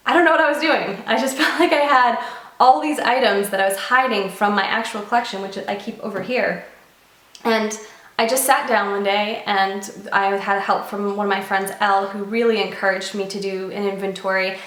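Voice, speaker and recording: A woman's voice: mid-range voice, steady tone, moderate pace, fairly bright tone, roomy sound, rough recording. A young woman vlogging casually to camera, nasal from a cold, chatty and earnest. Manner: chatty and earnest, with light laughs. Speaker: beauty vlogger.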